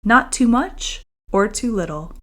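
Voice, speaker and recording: A woman's voice: mid-range voice, animated, unhurried, close to an everyday voice. A woman voicing a timid, reluctant male hedgehog who likes staying at home, in a children's storybook reading. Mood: softened, fond. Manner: huffy, reluctant.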